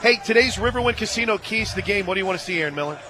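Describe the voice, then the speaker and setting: An American man calling live softball on the radio as play-by-play announcer, with folksy, joking banter. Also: high-pitched, animated, rapid-fire, over music. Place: stadium radio booth.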